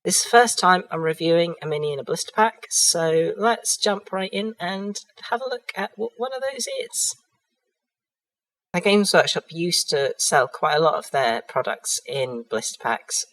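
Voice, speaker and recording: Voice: mid-range voice, moderate pace, fairly bright tone, rough recording. A miniatures hobbyist who has a cold. They narrate a product review hesitantly, with frequent ums and restarts.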